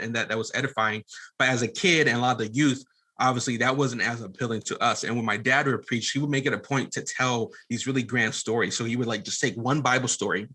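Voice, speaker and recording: A man's voice: medium pitch, pushed and bright. A man talking as a podcast guest in a casual storytelling style, earnest and rambling a little. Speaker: podcast guest, filmmaker and former pastor.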